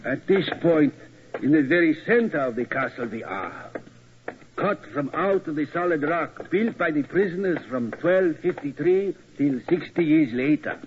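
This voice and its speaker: An actor playing a fussy middle-aged tower keeper who talks in stiff, inverted phrases, old muffled radio recording. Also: male voice, on the high side, measured pace, slight background noise, lightly acted. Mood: formal. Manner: formal, inverted word order. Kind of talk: 1940s radio acting.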